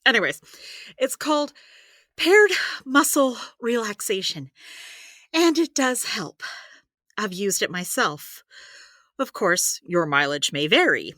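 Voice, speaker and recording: A practical woman healer in an audio drama, her voice bright and very expressive. She explains things at an unhurried pace, brisk in temper and given to wry, exasperated asides. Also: medium pitch, no echo, studio quality, exaggerated voice. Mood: explaining. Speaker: healer giving therapy advice. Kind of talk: audio drama dialogue, conversational.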